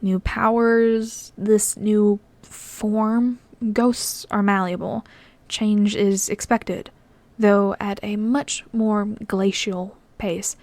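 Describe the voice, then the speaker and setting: A woman voicing an earnest young half-ghost man who explains himself slowly and gently in a storybook reading. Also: medium pitch, little pitch movement, no room sound. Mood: earnest, explanatory.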